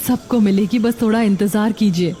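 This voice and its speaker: A woman's voice: mid-range voice, moderate pace, mellow, slight background noise, lightly acted. A woman playing a hardworking, hopeful young daughter-in-law who runs a noodle stall in a cartoon. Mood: welcoming. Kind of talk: scripted cartoon dialogue.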